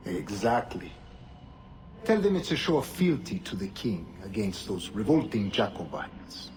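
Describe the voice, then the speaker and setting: An actor playing a scheming, persuasive conspirator. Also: male voice, fairly low pitch, big pitch swings, unhurried, darkish tone. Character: scheming male cult leader, smooth and persuasive. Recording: noisy background.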